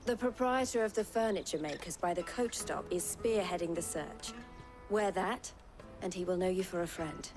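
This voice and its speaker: A woman's voice: lowish voice, normal speed, darkish tone, faint music behind, lightly acted. An actress playing a poised, shrewd and courteous woman merchant in a fantasy video game. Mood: courteous, businesslike. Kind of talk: dramatic video game acting.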